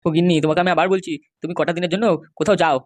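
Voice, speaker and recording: A woman's voice: on the low side, fairly even intonation, very fast, no echo, pitched below the performer's own voice, natural voice. A woman voicing a meek, henpecked, ailing husband in a comedy cartoon, pleading and whining with his wife. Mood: placating. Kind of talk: amateur comedy cartoon dialogue.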